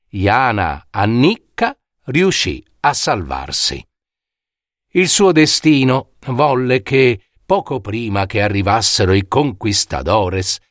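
A man narrating a scripted fairy tale in a measured, dramatic way with deliberate pauses. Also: medium pitch, dry recording, clean studio sound. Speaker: storyteller.

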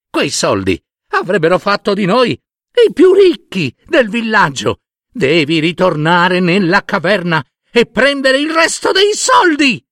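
A man voicing a bossy, greedy village wife in a folk tale, exclaiming and nagging with drawn-out emphatic words. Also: sits high, fairly bright tone, dry recording, studio quality, pitched above the performer's own voice, full character voice.

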